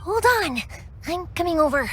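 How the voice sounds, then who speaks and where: An actress playing a bubbly, warm-hearted young fireworks maker in a video game, chatty and expressive. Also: female voice, very high-pitched, normal speed, a little hiss or hum. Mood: hushed urgency, reassuring. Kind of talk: English video game voice acting.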